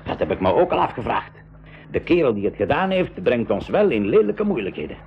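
A man's voice: mid-range voice, big pitch swings, a little fast. A man playing a practical, reassuring uncle and professor in a 1980s radio drama, explaining things matter-of-factly. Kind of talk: 1980s school radio drama acting in Dutch. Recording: muffled 1980s radio with faint noise.

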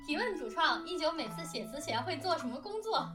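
A woman chatting casually and answering questions in an animated, giggly, talkative way. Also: high-pitched, brisk. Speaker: audio drama planner, lyricist and songwriter. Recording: distant mic at times, music behind. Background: music.

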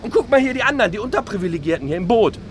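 A man's voice: sits high, sing-song, rapid-fire, a little hiss or hum. An actor playing an aggrieved middle-aged man in a theatrical monologue, whining and stammering in indignant, self-pitying questions. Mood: aggrieved, sarcastic.

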